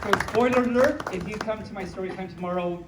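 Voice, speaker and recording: A playful storyteller reading picture books aloud to a small audience, with childlike, cutesy phrasing and excited asides. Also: medium pitch, fast, a loud crowd. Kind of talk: playful live story time with ad-libbed asides. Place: small room at a convention. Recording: echoey room from far off, faint noise.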